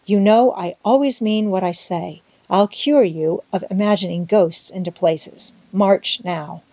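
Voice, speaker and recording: A woman's voice: medium pitch, unhurried, warm tone, no room sound. A woman voicing a stern, practical older farm woman who scolds in a firm, no-nonsense manner, as in a storybook. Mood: unfeeling, resolute. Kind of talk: storybook character voice in audiobook.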